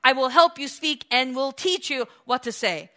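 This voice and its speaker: A woman's voice: high-pitched, normal speed, pushed and bright, pitched above the performer's own voice. A woman preacher voicing God as a commanding male deity who fires off thundering rhetorical questions.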